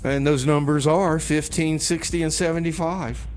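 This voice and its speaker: Higher-pitched male community radio host. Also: fairly even intonation, normal speed, darkish tone, soft background music. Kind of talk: casual music-show banter with comedy bits.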